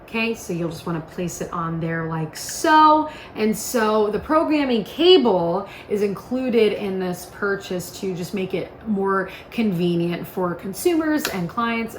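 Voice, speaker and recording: A woman giving a casual product demonstration in an upbeat, informal, chatty way. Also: mid-range voice. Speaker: product presenter. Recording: echoey room with faint noise.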